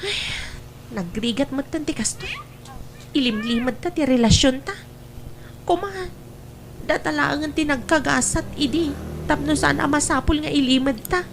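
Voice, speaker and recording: A very high, sweet female voice plays a clingy young mistress in a radio-style drama. She coaxes and pleads sentimentally, with faint noise in the recording. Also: normal speed, vocal fry, pauses often, rough recording. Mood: pleading. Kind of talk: Ilocano audio drama acting.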